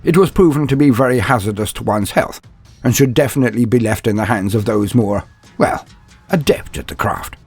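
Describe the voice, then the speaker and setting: A man narrating a scripted fantasy story in a grandiose, theatrical manner, with wry, deliberate pauses. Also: medium pitch, darkish tone, slightly rough. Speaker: narrator of fan fiction lore. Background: background music.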